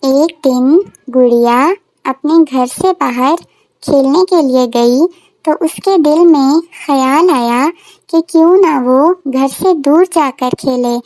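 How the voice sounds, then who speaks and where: High-pitched woman storyteller. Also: slow pace, darkish tone, no echo, rough recording. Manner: simple, repetitive storytelling for children. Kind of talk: scripted children's story narration.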